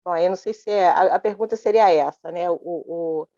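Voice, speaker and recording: A woman's voice: fairly low pitch, mellow, no room sound. Hesitant woman asking a question, dull sound. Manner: hesitant, halting, many restarts. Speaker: audience member asking a question. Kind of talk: panel Q&A question.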